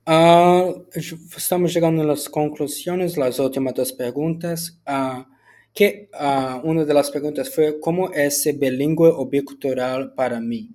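A man from Brazil, a student whose first language is Portuguese, speaks Spanish with a Brazilian Portuguese accent as he answers set questions for a school assignment, often restarting words and filling pauses with "ah". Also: a little high, fairly even intonation, darkish tone. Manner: halting, with frequent restarts and filler "ah".

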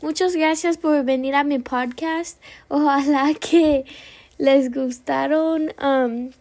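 A woman's voice: high voice, steady tone, darkish tone. A young woman student with an American English accent hosting her own class podcast, speaking carefully and correcting herself now and then. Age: young adult. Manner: slow, careful delivery with small self-corrections.

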